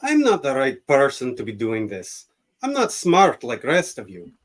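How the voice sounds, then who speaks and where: A man playing an earnest, self-doubting gentle giant of a superhero in a radio play, with a hesitant manner. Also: mid-range voice, huge pitch swings, moderate pace. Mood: unsure. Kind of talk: radio-play script reading.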